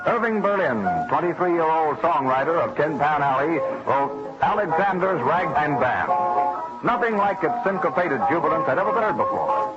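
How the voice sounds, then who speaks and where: A man's voice: a little high, expressive, moderate pace. An American man giving scripted old-time radio narration in a dramatic, declamatory delivery. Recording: dull vintage radio recording with music behind. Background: music.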